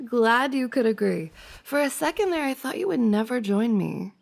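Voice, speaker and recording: A woman's voice: on the high side, animated, a little slow, vocal fry, heavily acted. A woman playing a playful, teasing young woman, a best friend's older sister, in a giggly, casual ASMR roleplay. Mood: playful reassuring. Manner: giggly, teasing banter with frequent soft laughs.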